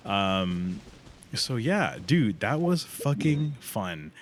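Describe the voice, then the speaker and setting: A man doing casual livestream commentary on a game, informal and sweary, thinking out loud as he plays. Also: lowish voice, sing-song, extremely slow, a little hiss or hum. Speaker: live game streamer.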